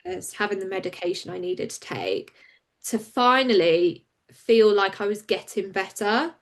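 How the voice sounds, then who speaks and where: A woman, a campaigner and interview guest, speaking frankly and openly in a relaxed conversation. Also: mid-range voice. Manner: open and frank, quick and animated when recounting.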